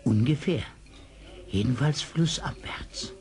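A man playing a slow, pious psalm-singer. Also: medium pitch, a little mellow, lightly acted. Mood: mild. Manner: slow, exalted, devout. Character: pious, unworldly psalm-singer full of praise. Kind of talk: radio play acting.

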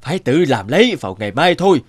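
A man voicing a practical, resolute farmer who muses aloud to himself in an animated folk tale. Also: a little high, quick pace, no room sound. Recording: music behind the voice.

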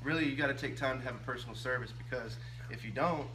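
Man in his thirties, barber, earnest and salesy, filler-heavy talk, steady hum under the voice, camera a few feet off. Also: medium pitch, fast, recorded from a distance. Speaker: barber and shop owner. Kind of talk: casual chat while cutting hair. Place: barbershop, indoors. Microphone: camera on a stand a few feet away. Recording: room recording with steady hum.

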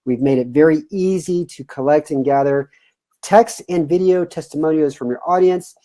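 Man in his 30s, webinar host on a home video call, mellow tone, upbeat and chatty. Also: a little high, moderate pace, a little hiss or hum. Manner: upbeat, loose, many "uh" fillers. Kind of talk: casual webinar hosting. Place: home room, indoors.

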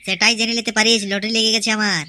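A woman's voice: medium pitch, flat delivery, rapid-fire, sharp tone. A performer voicing a brash young village man in a cartoon, cocky and crude, bossing his family around. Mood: boastful.

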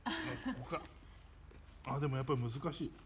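A man's voice: medium pitch, lively intonation, brisk, a little hiss or hum. A man presenting a casual workshop visit, playful and teasing. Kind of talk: casual chat. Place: large indoor workshop.

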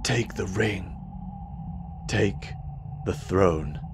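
A man playing a solemn, tender older royal father in an amateur audio drama, speaking in a grave, halting way. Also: sits low, noisy background, heavily acted. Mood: weak, grave.

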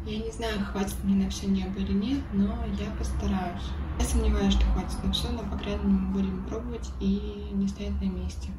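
A slightly hoarse woman chats easily about her cross-stitch, now and then slipping into a near-whisper. She sounds a little distant from the mic, in an echoey room with a noisy background. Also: medium pitch, little pitch movement, fairly quick, few pauses. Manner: chatty, drops into whispers, laughs softly. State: a bit hoarse. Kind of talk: casual chat showing needlework. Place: home, at a table.

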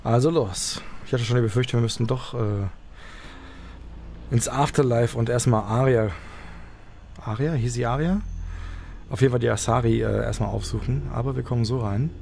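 A man's voice: medium pitch, moderate pace, creaky, a little mellow, slight background noise. A man commentating casually on a game he plays, thinking aloud and a little hesitant. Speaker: let's player. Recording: game music behind the voice. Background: video game music and effects.

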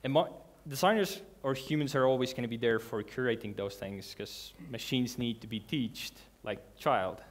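Guy doing a conference talk in a big dark hall, earnest and kinda halting, lots of restarts. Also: medium pitch. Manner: earnest, halting, with restarts and non-native grammar. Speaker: conference speaker. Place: large dark hall with a seated audience.

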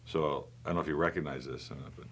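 A man's voice: rumbling low, fairly even intonation, fast, noisy background. A middle-aged man hosting a casual wine tasting in a wine shop, teasing his co-host and rambling enthusiastically about the bottles. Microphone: overhead boom mic.

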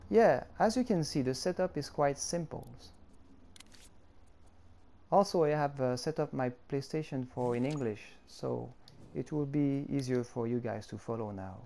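A man's voice: mid-range voice, vocal fry, mellow, slight background noise. A man whose first language is French, speaking English with a French accent and walking through a game-editor tutorial step by step. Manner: unhurried, explaining step by step with fillers. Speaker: tutorial creator.

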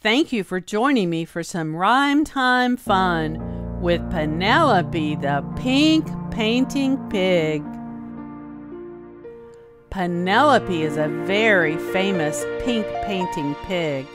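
A woman reading a children's story aloud as a storyteller, expressive and carefully enunciated, drawing out key words. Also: fairly low pitch, takes it slowly. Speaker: storyteller, host. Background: music.

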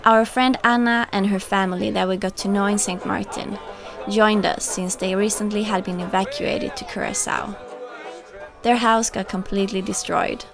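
A woman reading a calm scripted voice-over narration. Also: mid-range voice, normal speed, creaky, over music, a crowd in the background. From Sweden.

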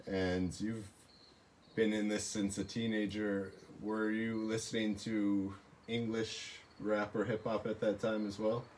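A man's voice: on the low side, level tone, a little slow, a little mellow, slight background noise. A man in his thirties hosting a livestream interview, asking curious questions in a casual way, in a small room on a close phone camera. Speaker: livestream host. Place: small room with reed-panel wall.

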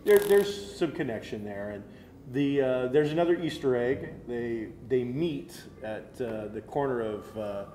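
A man's voice: medium pitch, expressive, a little slow, mellow. An American man in his forties chatting casually as co-host of a panel show, opinionated and jokey. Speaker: co-host of a geek culture show. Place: indoor room set, seated around a table. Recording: roomy sound, faint noise.

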